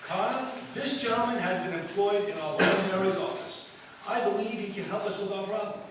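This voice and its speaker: A man's voice: high-pitched, fairly quick, natural voice. A man playing a pompous, bossy magazine publisher in an old-time radio drama. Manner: self-important, commanding, blustery. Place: live stage performance. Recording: echoey hall, distant mic, noisy.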